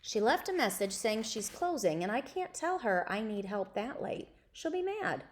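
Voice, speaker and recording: A woman's voice: on the high side, sing-song, moderate pace. A woman voicing an anxious, earnest schoolgirl in pleading storybook dialogue. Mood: desperate pleading.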